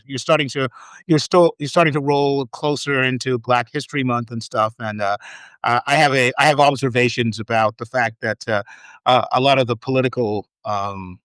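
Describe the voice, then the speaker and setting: A man with an American accent talks in a casual, halting way, piling up restarts and fillers before landing a dry joke. His voice is smooth and resonant, recorded close and dry with no room sound. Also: mid-range voice, fairly bright tone, no room sound. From Trinidad. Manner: halting, many restarts and fillers, wry humor. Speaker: podcast guest. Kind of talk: casual chat.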